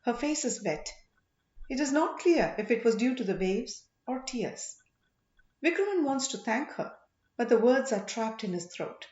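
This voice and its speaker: Expressive woman narrating, very lively pitch. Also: measured pace. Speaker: audiobook narrator. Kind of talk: dramatic scripted story narration. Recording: echoey room, slightly muffled sound.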